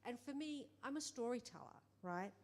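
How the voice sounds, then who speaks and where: A middle-aged woman producer speaking in a conversation, animated, acting out quoted exchanges, with many restarts. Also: on the high side, moderate pace. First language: English. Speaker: film producer. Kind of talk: panel conversation.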